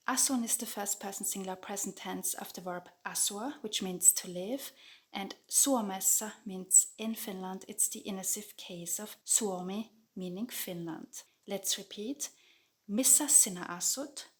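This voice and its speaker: A woman teaching grammar in a calm, measured voice-over. Her pitch sits in the middle of the range. She speaks clearly and slowly repeats each example sentence. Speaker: Finnish language teacher. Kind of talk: scripted grammar lesson voice-over. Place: voice-over over lesson slides.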